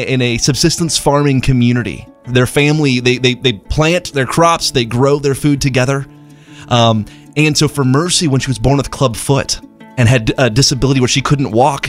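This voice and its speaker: Man from Zimbabwe, passionate storyteller, earnest radio appeal, soft music under. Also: medium pitch. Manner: passionate, storytelling, repeats words. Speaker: charity representative on radio.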